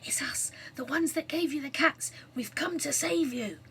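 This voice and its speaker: A woman doing a gentle, kind young girl. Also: sits high, sing-song, normal speed, pitched above the performer's own voice. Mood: soft. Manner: gentle, soothing.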